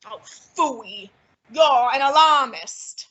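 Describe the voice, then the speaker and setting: A woman's voice: sits high, animated, drawn-out words, a bit bright, a little hiss or hum, full character voice. A teenage girl playing a fat, lazy, stubborn male detective in a student radio drama, with a pompous, clipped manner. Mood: irritated. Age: teens.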